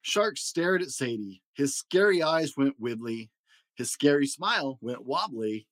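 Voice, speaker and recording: A man reads a children's picture book aloud. He tells it dramatically, with lively swings in pitch and a medium register. The sound is dry. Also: unhurried, no room sound. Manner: expressive, dramatic storytelling. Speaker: story reader.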